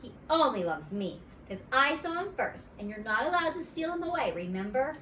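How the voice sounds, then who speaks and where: A woman's voice: high-pitched, big pitch swings, normal speed, fairly bright tone, a little hiss or hum, pitched above the performer's own voice. A woman voicing a possessive, grouchy little schoolgirl in a storybook, bossy and childish. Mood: jealous, bossy. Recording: roomy echo, distant mic.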